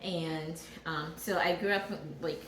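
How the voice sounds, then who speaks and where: A woman's voice: fairly low pitch, steady tone, takes it slowly, a bit bright. A woman from Ghana chats casually as a vlog co-host, hesitating and repeating herself as she searches for words. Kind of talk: casual chat with partner. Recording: roomy echo, clear background noise.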